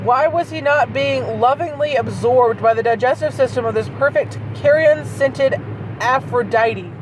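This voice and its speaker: A man with an exceptionally high voice hosts a casual comedic read-aloud. He is profane and incredulous, cutting into the text with mocking asides. The mic is distant and there is clear road noise from a moving vehicle. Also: measured pace. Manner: profane, incredulous, breaks off reading to mock it. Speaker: host reading bad fan fiction aloud.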